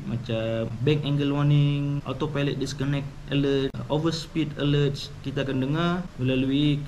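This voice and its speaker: A Malaysian man hosts an informal explainer in an ordinary mid-range voice. He talks conversationally, switching in English terms and tossing in tag questions. Clear background noise sits behind him. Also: medium pitch, darkish tone. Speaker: YouTube channel host. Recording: clearly noisy background ambience.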